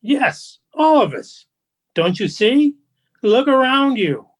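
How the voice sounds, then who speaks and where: A woman playing an eerie, delighted night dweller in a radio play, with theatrical, drawn-out delivery. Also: a little high, very animated, heavily acted. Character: pale, eerie, delighted department-store dweller.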